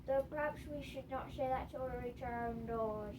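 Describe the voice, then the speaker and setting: A woman's voice: high voice, normal speed, close to an everyday voice. A woman playing a plain-spoken, protective male hobbit farmer with rustic phrasing in an amateur radio play. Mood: friendly, folksy. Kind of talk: amateur radio theater acting. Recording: distant mic, clearly noisy home recording.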